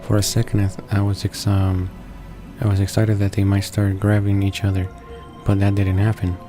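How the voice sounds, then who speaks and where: A man reading a visual novel's narration aloud as a let's player, halting and correcting himself. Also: fairly low pitch, completely flat delivery. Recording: game music behind the voice. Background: visual novel background music.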